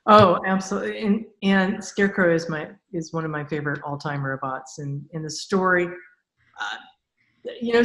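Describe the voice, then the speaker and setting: Lady hosting an interview podcast, chatty and encouraging, roomy echo on her voice. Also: fairly low pitch. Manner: appreciative, encouraging. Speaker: podcast host, author. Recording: roomy, echoey sound.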